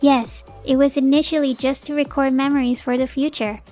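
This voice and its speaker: A woman's voice: sits high, level tone, fairly quick, darkish tone, soft background music, lightly acted. Woman acting resolute young mother in a manga drama. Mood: relieved, reflective. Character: wronged young mother, resolute wife divorcing her cheating husband. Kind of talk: scripted first-person narration, manga dub.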